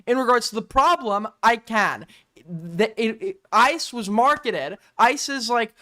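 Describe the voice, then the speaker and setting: High-voiced, smooth streamer man. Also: sharp tone, no echo, studio quality. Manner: pressing, repeats words, talks over others. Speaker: streamer host. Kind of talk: heated casual debate.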